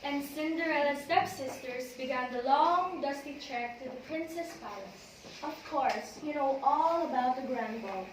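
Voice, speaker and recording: A woman's voice: high-pitched, measured pace. A woman reading scripted storybook narration as the narrator of an amateur readers' theater. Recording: very echoey room, distant, noisy.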